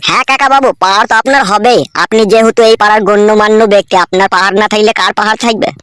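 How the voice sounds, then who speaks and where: A woman's voice: mid-range voice, fairly even intonation, racing, a bit bright, smooth, dry recording, studio quality, close to an everyday voice. A woman voicing a meek, gullible young village man in a comic cartoon, pleading and deferential. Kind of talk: amateur comic cartoon dialogue.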